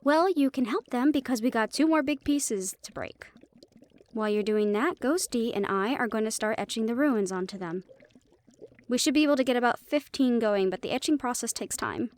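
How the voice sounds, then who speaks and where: A fairly high-pitched female magic shop keeper, acted by a woman in a fantasy audio drama. She explains things helpfully and knowledgeably, with a light teasing edge. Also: normal speed, close to an everyday voice. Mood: explaining. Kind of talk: fantasy audio roleplay acting.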